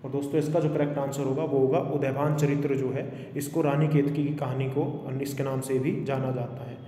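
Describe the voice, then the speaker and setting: A man's voice: mid-range voice, little pitch movement, fairly quick, warm tone. A man teaching a quiz lesson to online viewers, repeating questions instructively and switching into English phrases. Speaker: online exam coaching teacher. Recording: echoey reverberant room with faint noise.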